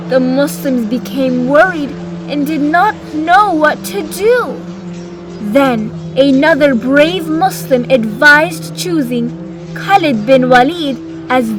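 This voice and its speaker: High-pitched woman narrator over music. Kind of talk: scripted children's story narration. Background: background music.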